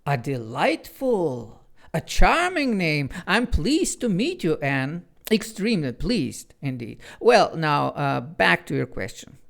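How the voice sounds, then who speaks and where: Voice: mid-range voice, very animated, takes it slowly, creaky, fairly bright tone, heavily acted. A storyteller voicing a pompous, theatrical male clock spirit who speaks with an affected lisp.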